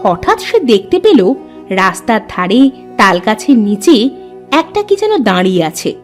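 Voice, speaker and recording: A woman narrating a cartoon fairy tale in a lively storytelling manner. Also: medium pitch, measured pace, faint music behind.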